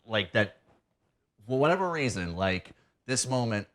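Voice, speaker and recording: A man's voice: mid-range voice, sing-song, measured pace, a bit bright. A man in his thirties from New Hampshire, a guest wrestler chatting in a TV studio and rambling through many fillers. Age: 30s. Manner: rambling, heavy on "you know" and "like" fillers. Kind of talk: casual interview chat. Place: TV studio set.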